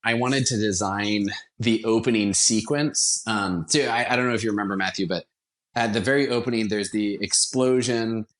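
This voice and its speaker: A man with a fairly low-pitched voice talks as a guest in a conversational interview. He explains his work as a director with evident enthusiasm. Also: normal speed, fairly bright tone. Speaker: podcast guest, radio theatre director.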